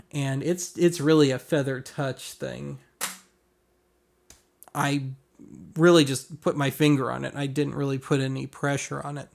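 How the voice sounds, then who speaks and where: Guy doing a casual gear review, voice a bit on the high side, chatty explaining, dry self-deprecating humor. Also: vocal fry. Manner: wry, self-deprecating asides; colloquial, explanatory. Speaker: host of a vintage audio gear review show. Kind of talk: casual product walkthrough.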